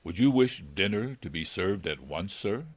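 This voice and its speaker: Man acting subdued butler, deep voice, formal, deferential, gothic audiobook character, dry sound. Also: animated, normal speed, no echo. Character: formal, subdued male butler of a gloomy old mansion.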